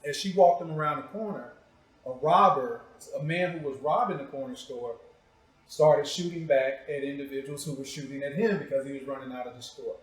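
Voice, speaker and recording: A man's voice: medium pitch, fairly quick. A man hosting a conversational interview, speaking in a probing, direct way. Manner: probing, short direct questions and prompts. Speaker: show host interviewing a guest. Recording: echoey room.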